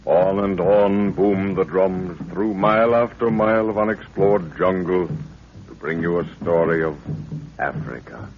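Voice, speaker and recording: A man with a husky voice giving dramatic, portentous scripted narration as the narrator of a 1930s radio serial. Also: sits low, fairly even intonation, a little slow, a little hiss or hum. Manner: portentous, declamatory. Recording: thin, phone-like 1930s radio recording.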